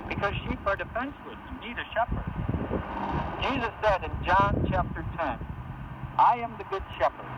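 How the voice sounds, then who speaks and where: A devout, kindly boss who speaks earnestly and preachily, in radio drama style. The voice is high for a man. It sounds distant under strong broadcast noise. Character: kindly, devout boss quoting scripture to his employee. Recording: distant, muffled, noisy shortwave broadcast.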